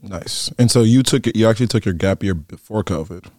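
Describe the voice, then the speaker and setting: Give me a young man hosting an interview, low-pitched and chatty, recorded dry. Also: brisk, warm tone, no room sound. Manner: easygoing, chatty questions. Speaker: student podcast host.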